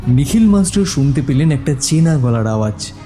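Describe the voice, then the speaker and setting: A man's voice: mid-range voice, animated, moderate pace, dark tone. A man narrating a scripted horror story in a suspenseful storytelling style. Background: background music.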